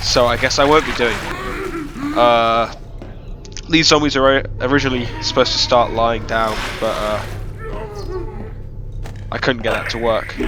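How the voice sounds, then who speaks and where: A man's voice: medium pitch, steady tone, a little slow, pauses often, background noise. A man with a cold, sounding nasal and sniffly, giving a rambling, self-deprecating developer commentary on his own game map with lots of fillers. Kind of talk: casual gameplay commentary. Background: loud game music.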